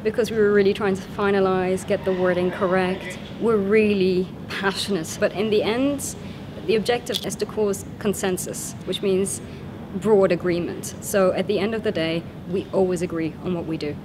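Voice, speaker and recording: A woman's voice: medium pitch, fairly even intonation, normal speed, background noise. A young woman from Luxembourg answering interview questions about a student simulation, earnest and thoughtful. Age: young adult. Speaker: simulation participant. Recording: music playing underneath.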